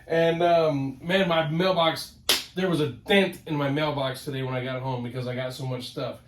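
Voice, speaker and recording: Man, nasal with a head cold, excitable, rambling, home office, distant mic, echoey room. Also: fairly high pitch, moderate pace, a little hiss or hum. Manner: excitable, rambling, repeats words. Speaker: collector vlog host. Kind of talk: casual rambling vlog talk. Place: home office, ten-foot ceiling.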